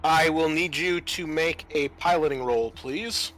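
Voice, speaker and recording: A man with a bright, forceful voice runs a tabletop roleplaying game as game master. He gives rulings and narrates over a music bed. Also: on the high side, measured pace.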